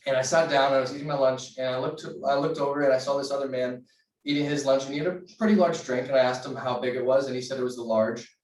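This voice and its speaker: A man's voice: mid-range voice, fairly even intonation, quick pace, darkish tone, a little hiss or hum. A young man giving an earnest persuasive class speech, citing figures with occasional restarts. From United States. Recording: roomy sound from a distant mic.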